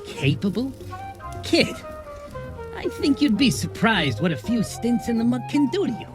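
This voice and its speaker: Swooping, streetwise goblin bartender. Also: male voice, very high-pitched, sing-song, unhurried, full character voice. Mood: wry sympathy. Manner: slangy, familiar, calling people kid. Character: wry streetwise goblin bartender, a small male fiend. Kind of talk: noir audio drama acting. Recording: music playing behind the voice. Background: music.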